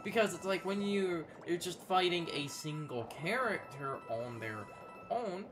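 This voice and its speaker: A man chatting casually as a gaming commentator, halting and repeating words as he explains things. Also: fairly high pitch, lively intonation, over music. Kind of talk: casual unscripted gaming chat.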